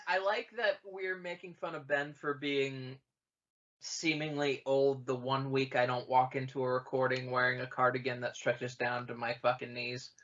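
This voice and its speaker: A man around thirty hosts a casual podcast, laughing often and turning the talk from one co-host to the next. His voice is bright and forceful, and the mic sounds dull and lo-fi. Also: medium pitch, little pitch movement, moderate pace. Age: 30s. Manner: laughing, moderating, steering the talk. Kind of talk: casual chat.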